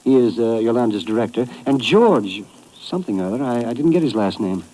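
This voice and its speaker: A man's voice: mid-range voice, expressive, fairly quick, dark tone, lightly acted, low-quality recording. A man playing a hesitant, nervous client. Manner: hesitant, earnest.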